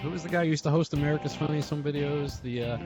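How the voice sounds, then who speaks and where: A man's voice: on the high side, quick pace. A man hosting a casual conversation among friends, reminiscing in a loose way and often laughing as he talks. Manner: laughs often while talking, profane. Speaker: podcast host. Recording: music playing behind the voice. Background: guitar music.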